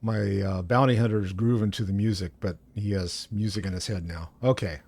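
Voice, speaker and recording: A man's voice: lowish voice, fairly even intonation, normal speed, creaky, darkish tone. A man chatting casually as he plays a video game as co-host of a livestream. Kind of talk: casual chat while playing a video game.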